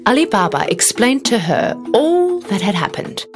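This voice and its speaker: A woman reading a scripted children's story as an expressive narrator. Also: mid-range voice, moderate pace, music in the background.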